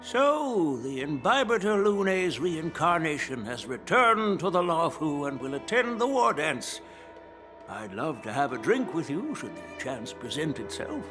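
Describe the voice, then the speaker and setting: An old master smith and general from a game story, genial and unassuming. His voice moves up and down expressively, a touch higher than most, with faint music behind it. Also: very animated, unhurried. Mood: genial, curious. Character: long-lived, modest, genial elder male general and master smith. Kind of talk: video game story voice acting.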